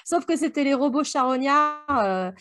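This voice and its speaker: Casting: female co-host, high-pitched voice, colloquial and vivid storyteller for a casual book discussion. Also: lively intonation, normal speed, a bit bright. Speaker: podcast book club co-host. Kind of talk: casual chat, retelling story scenes.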